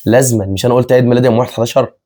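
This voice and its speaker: Fast-talking young Egyptian man. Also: medium pitch, steady tone, dark tone. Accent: Egyptian Arabic. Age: 20s. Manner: chatty, repeats himself, laughs often. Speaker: vlogger, channel co-host. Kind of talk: casual chat with viewers.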